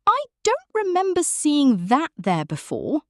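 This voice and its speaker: A woman's voice: high voice, wildly swooping pitch, measured pace, dry recording, clean studio sound, pitched above the performer's own voice, full character voice. A woman voicing a small, curious, food-loving male storybook character who muses to himself. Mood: puzzled. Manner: musing to himself. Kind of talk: children's storybook character reading.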